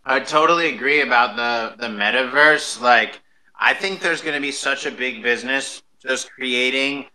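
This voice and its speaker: Very bright-voiced male radio host. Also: mid-range voice, rough recording. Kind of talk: casual live radio interview.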